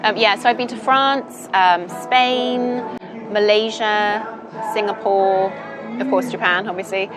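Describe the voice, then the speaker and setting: A woman's voice: medium pitch, a little slow, low-quality recording. A young woman from Britain, chatting thoughtfully as an interview guest with many ums and you-knows. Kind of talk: casual interview chat. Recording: music playing underneath.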